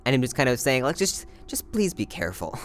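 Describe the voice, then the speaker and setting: A man's voice: medium pitch, very animated, brisk, a little hiss or hum. A man casually talking through a character from a play, hesitant and thinking aloud as he goes. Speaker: actor discussing a play.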